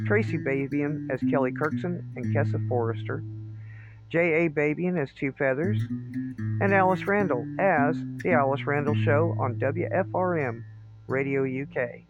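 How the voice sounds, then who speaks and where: Male announcer reading show credits: higher-pitched, slightly muffled lo-fi sound, guitar music behind. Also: a little slow.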